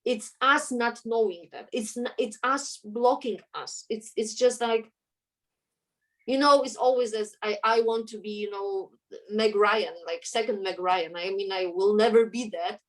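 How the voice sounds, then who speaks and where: A woman's voice: mid-range voice, a little slow. A woman with a Polish accent, a native Polish speaker, talking earnestly as an interview guest and often searching for her words. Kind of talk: conversational interview.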